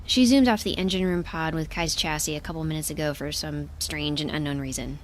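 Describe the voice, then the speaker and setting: A woman's voice: sits low, fairly quick, a little hiss or hum, close to an everyday voice. A woman playing a caring, exasperated young shipmate who tends to the injured in an audio drama, earnest and fussing with dry humor. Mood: reassuring.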